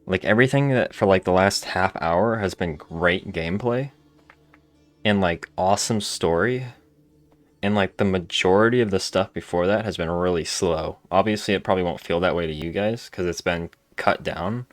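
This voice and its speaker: A man's voice: low-pitched, moderate pace. A man doing casual game commentary, informally musing aloud as he plays. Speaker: gaming video host. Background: faint game music.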